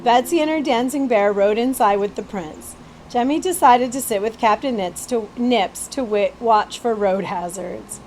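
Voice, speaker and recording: A woman's voice: on the high side, normal speed, a little hiss or hum, poor audio. A woman reading a children's story aloud expressively, as a librarian would, stumbling now and then. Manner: animated storytelling with occasional stumbles and asides.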